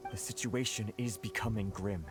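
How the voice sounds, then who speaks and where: Man as a low-voiced, grave officer. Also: steady tone, lightly acted. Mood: grave, worried. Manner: solemn, measured. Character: grave male resistance officer, weary and dutiful. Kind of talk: anime-style game voice acting. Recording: music behind the voice.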